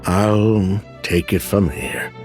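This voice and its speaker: A man's voice: on the low side, fairly even intonation, slow, over music. An actor playing a weary, defensive criminal businessman in a video game, with a dramatic, wry, sighing delivery. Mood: defensive, dismissive.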